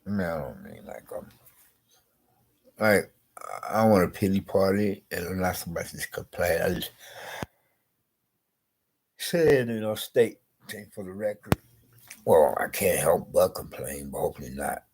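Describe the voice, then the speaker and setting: A man vlogging slowly and rambling, congested with a scratchy throat, repeating "you know". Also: sits low, animated, gravelly, darkish tone. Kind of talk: rambling personal vlog.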